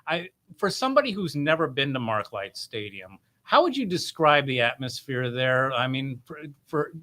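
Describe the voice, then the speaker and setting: A man's voice: mid-range voice, sing-song, normal speed, pushed and bright. A middle-aged man hosting an interview, asking questions in a casual, conversational style. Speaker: interview host.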